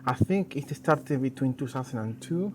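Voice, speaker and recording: A middle-aged man with an Argentine accent, a native Spanish speaker, chatting casually and haltingly as a tango dancer being interviewed. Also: medium pitch, expressive. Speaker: professional tango dancer and teacher, interview guest. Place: outdoors in a garden. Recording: noisy outdoor ambience.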